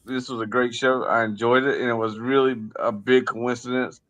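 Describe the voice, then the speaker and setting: A man with a mid-pitched voice co-hosts a sports livestream. His talk is casual and unscripted, with rambling, hesitant phrasing. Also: fairly even intonation, normal speed, fairly bright tone, dull audio. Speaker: sports show co-host and interviewer.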